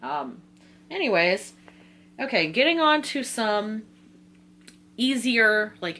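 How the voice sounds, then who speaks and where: An American woman from Virginia talking casually in an excitable, rambling, slangy way, like a book vlogger. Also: on the low side, slight background noise. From Virginia, United States. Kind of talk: casual chatty video talk.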